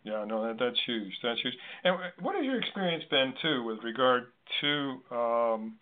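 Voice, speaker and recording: Halting male host on tinny phone line. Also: mid-range voice, sing-song. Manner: halting, wordy, thinking aloud. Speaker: interview host. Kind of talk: conversational interview.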